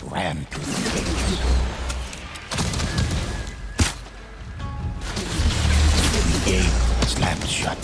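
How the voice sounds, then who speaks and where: A man narrating as a grave storyteller of samurai ghost legends in a video game, measured and dramatic. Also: sits low. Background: loud cinematic music.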